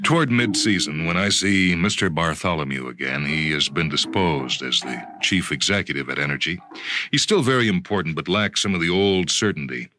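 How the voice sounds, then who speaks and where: A man's voice: low-pitched, expressive, moderate pace, background noise. A man narrating a short story in the first person, with a conversational, emphatic delivery.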